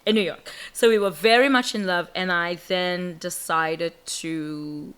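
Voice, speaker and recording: South African woman comedian, lowish voice. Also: unhurried, smooth. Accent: South African, Western Cape. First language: Afrikaans. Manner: lively, laughs often, storytelling. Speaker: guest comedian. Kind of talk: casual podcast interview.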